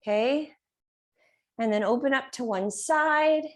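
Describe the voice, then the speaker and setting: A woman teaching a yoga class and guiding poses step by step. She is losing her voice and keeps clearing her throat. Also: high-pitched, slow pace. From Reno area, United States. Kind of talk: guided yoga class instruction.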